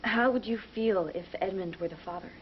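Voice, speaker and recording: An actress playing a gentle woman confidante who asks calm questions, in a soap opera. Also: medium pitch, fairly quick, a little hiss or hum, lightly acted. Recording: dull lo-fi TV recording with music.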